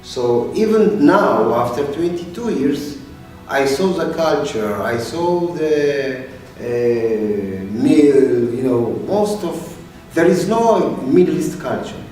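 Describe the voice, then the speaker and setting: Brief: man from Ethiopia, slow and hesitant, far off in a very echoey room. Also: a little high, animated, darkish tone, faint music behind. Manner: hesitant, searching for words. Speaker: interviewee. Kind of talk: halting interview answers. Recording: distant mic in a very echoey room.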